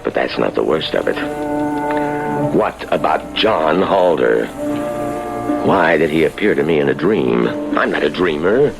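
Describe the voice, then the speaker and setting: An actor playing a stern, troubled government minister, formal and earnest, in old radio drama style. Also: male voice, extremely low pitch, animated, a little slow, vocal fry, long pauses, over loud music, heavily acted. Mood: brooding, troubled.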